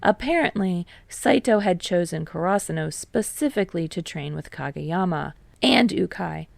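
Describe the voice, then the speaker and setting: Woman, story narrator, scripted reading, lower pitch, creaky fry, very dry sound. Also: expressive, normal speed, dry recording. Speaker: audiobook narrator of fan fiction.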